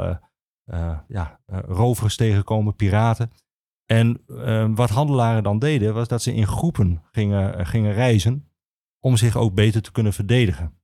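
A man, an expert guest explaining things in a measured, hesitant interview style. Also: on the low side, creaky, warm tone, no echo, clean studio sound. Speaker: guest, archivist and historian.

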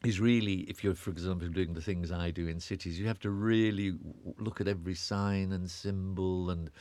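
Deep-voiced British man, rambling reflectively. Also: steady tone, moderate pace, a little mellow, no echo. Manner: rambling, self-interrupting, repeats words when searching. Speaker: interview guest, urbanist. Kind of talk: reflective conversational interview.

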